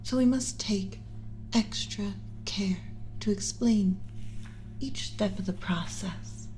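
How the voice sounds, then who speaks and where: A woman voicing a gentle, motherly alien scientist in an ASMR roleplay, speaking in a soothing, measured, instructional, often whispery way. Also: medium pitch, slight background noise, natural voice, low-quality recording. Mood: gentle, instructive. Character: gentle motherly alien scientist teaching a class about pet human.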